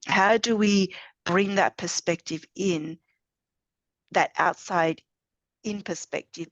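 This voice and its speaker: A middle-aged woman with an Australian accent, speaking in a measured, articulate way in a panel talk about her corporate role. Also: on the low side, fairly bright tone, dry recording. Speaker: head of diversity, equity and inclusion.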